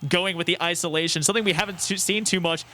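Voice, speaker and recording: A man's voice: high voice, fairly even intonation, fast talker, bright, forceful tone, slight background noise. A young man giving unscripted basketball analysis as a radio color commentator.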